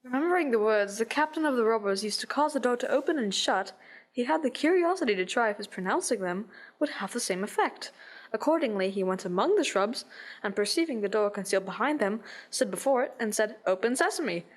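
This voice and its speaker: A woman's voice: fairly high pitch, animated, a little fast. A woman reading a storybook passage aloud in a radio play. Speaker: story reader.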